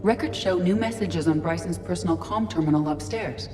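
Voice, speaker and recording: An actress playing a calm female ship AI who speaks in a precise, measured, literal way. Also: on the low side, big-room sound, music in the background, natural voice. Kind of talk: video game dialogue.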